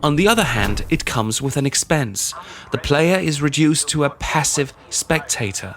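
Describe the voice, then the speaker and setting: A man's voice: fairly high pitch, lively intonation, moderate pace. A man hosting a video essay in scripted narration. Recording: music behind the voice. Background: background music.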